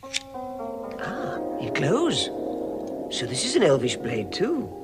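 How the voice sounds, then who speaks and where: An actor playing a timid, fussy hobbit in a radio drama, with a hesitant, fretful way of talking. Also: male voice, high-pitched, wildly swooping pitch, slow pace, over loud music, heavily acted. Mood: anxious, wondering. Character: timid, fussy, flustered hobbit recounting his adventure. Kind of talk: radio drama acting and first-person narration.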